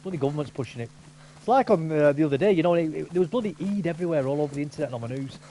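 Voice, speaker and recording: A man's voice: fairly high pitch, expressive, rapid-fire, dark tone, slight background noise, poor audio. A man chatting casually with a friend while gaming, rambling and opinionated with many restarts. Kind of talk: casual chat over gameplay.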